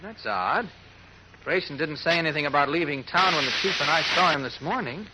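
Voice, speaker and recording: A man's voice: a little high, expressive, fairly quick, heavy background noise, natural voice. A man with an American accent playing a serious, urgent newspaper reporter in 1940s radio drama style on an old recording. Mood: concerned, firm. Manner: urgent, authoritative. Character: brisk, serious adult male newspaper reporter investigating a disappearance.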